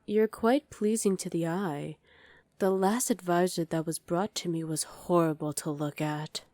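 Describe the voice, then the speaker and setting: Woman playing a low, velvety evil queen. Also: fairly even intonation, unhurried, a little mellow, dry recording, close to an everyday voice. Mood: coolly imperious. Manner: commanding, condescending, coolly threatening. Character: dominant evil sorceress queen, imperious and menacing. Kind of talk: ASMR roleplay.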